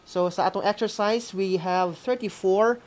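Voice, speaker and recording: A Filipino co-host of a radio school program giving announcements to students in a halting way, often ending phrases with a questioning "no?". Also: medium pitch, a little mellow. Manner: halting, often adds "no?" as a tag. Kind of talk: semi-scripted announcements to students on air.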